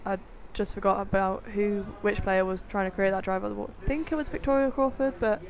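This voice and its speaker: A woman works as co-commentator on a live sports match. She speaks at a mid-range female pitch, describing and assessing the play. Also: fairly even intonation, fairly quick, a little mellow.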